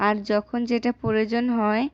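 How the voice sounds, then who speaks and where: A woman's voice: a little high, level tone, moderate pace, clear, resonant tone, no echo, close to an everyday voice. Woman playing a caring, worried village mother, muffled. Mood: humble, warm. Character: loving, worried poor village mother, hardworking and self-sacrificing. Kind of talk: acted cartoon dialogue.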